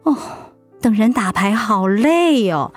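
An actress playing a hurt, outspoken wife recovering from cancer in an emotional, plaintive audio drama performance. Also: female voice, medium pitch, lively intonation, slow pace, faint music behind. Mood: weary, aggrieved.

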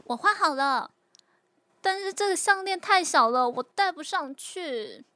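Clear background noise surrounds a woman acting as a young female adventurer in an audio drama. Her voice is extremely high, and she comes across as bold, curious and impulsive. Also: animated, a little slow, bright, forceful tone, full character voice. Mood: puzzled. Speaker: voice actor.

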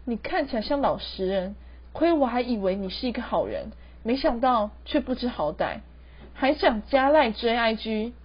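Girl as a high-voiced wronged ghost daughter. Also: animated, normal speed, husky. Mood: indignant, complaining. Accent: Taiwanese Mandarin. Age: teens. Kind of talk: amateur radio drama acting. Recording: dull lo-fi student recording.

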